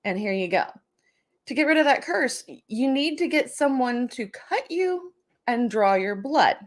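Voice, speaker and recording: A woman's voice: sits high, animated, measured pace. A woman hosting a casual storytelling show, talking through folklore in a chatty, wry way with tongue-in-cheek asides. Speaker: host and storyteller.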